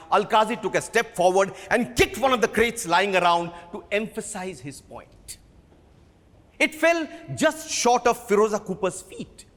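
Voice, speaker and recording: A man with an Indian accent giving a dramatic reading of literary narration, with theatrical, deliberate phrasing. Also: high voice, a bit bright. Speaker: theatre performer reading from a book. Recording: reverberant hall.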